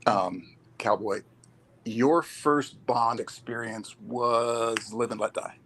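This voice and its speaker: A man's voice: medium pitch, steady tone, takes it slowly, a bit bright, a little hiss or hum. A man talking casually as a guest on a podcast. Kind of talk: casual chat.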